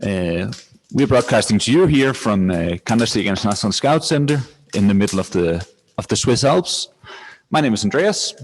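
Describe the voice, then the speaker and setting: A man's voice: fairly low pitch, animated, moderate pace. A man with a Danish accent hosting an informal webinar, presenting to an online audience. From Denmark. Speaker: webinar host, marketing manager. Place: indoors at a scout centre. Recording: echoey room, clear background noise.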